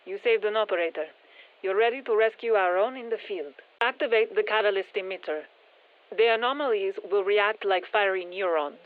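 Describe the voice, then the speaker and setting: An actress playing a composed scientist who gives soldiers matter-of-fact, dryly wry mission instructions through a radio filter in a game. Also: female voice, mid-range voice, fairly quick, phone-quality sound. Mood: focused, matter-of-fact. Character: composed female scientist-engineer guiding soldiers against alien parasites. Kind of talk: scripted game mission briefing.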